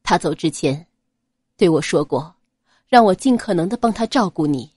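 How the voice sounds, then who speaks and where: A woman voicing a cold, restrained young nobleman in an audiobook, quiet, grave and measured. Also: on the low side, animated, slightly rough, no echo, studio quality, voice pushed down. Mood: solemn, cold. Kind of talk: dramatized audiobook character acting.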